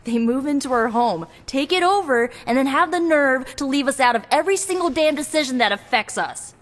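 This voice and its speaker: A woman's voice: high voice, animated, brisk. A woman playing a hot-tempered young female hero in a video game, ranting with sarcasm and heavy emphasis. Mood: bitter, sarcastic. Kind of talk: video game cutscene voice acting. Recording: long hall reverb.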